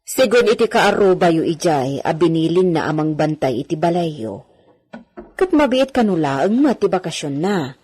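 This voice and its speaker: A devoted maidservant in an Ilocano radio play. Her voice sits fairly low for a woman and has a husky grain, and she sounds worried for the family she serves. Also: sing-song, moderate pace, a little mellow, faint music behind. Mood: evasive, gentle. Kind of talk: Ilocano radio drama acting.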